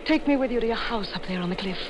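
An actress playing a reckless, passionate young woman killer who narrates her crime in an intense 1940s radio thriller style with dramatic pauses. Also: fairly high pitch, animated, noisy background, lightly acted. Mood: pleading. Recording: vintage 1940s radio recording with music.

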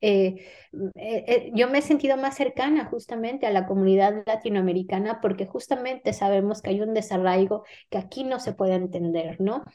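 A woman's voice: mid-range voice, moderate pace, dark tone. A middle-aged Mexican woman, an author, answering an interview in an earnest, reflective way, often restarting and adding "¿no?". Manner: earnest, many repeated restarts and "¿no?" tags. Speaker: author guest.

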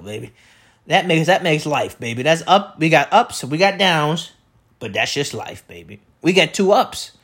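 A man with a loud, projecting voice rambling through a comedic solo monologue as a podcast host, repeating himself and tossing out rhetorical questions. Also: sits high. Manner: repetitive, riffing with catchphrases and rhetorical questions. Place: indoor room beside an open window.

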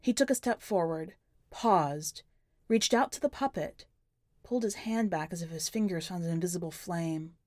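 A woman's voice: on the low side, normal speed, a little mellow, no echo, studio quality. A woman reading a short story aloud as scripted, dramatic narration.

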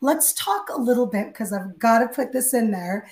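Hoarse nasally woman host, kinda high, roomy echo. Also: expressive, moderate pace, darkish tone. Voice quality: naturally hoarse, a bit nasal. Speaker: interview host. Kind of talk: interview chat.

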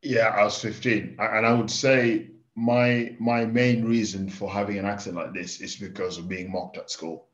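A man in his thirties, born in Kenya and speaking with an English accent, giving candid, animated stories as a guest in a casual interview. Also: fairly low pitch, moderate pace, few pauses. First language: Swahili. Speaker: farmer guest. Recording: dull lo-fi podcast recording.

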